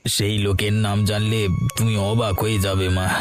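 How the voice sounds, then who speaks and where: A man's voice: fairly low pitch, level tone, moderate pace, over music, natural voice. A man with a West Bengal accent voicing an honest, humble village man in a cartoon, with a deferential and hesitant manner. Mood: hurt, secretive. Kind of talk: cartoon voice acting.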